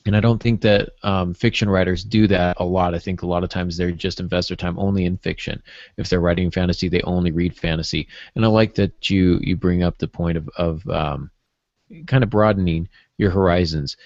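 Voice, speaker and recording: A man co-hosting a writing podcast, summing up and affirming points in a halting, conversational way. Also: low-pitched, fairly even intonation, darkish tone, no room sound, muffled recording. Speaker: podcast co-host, writer.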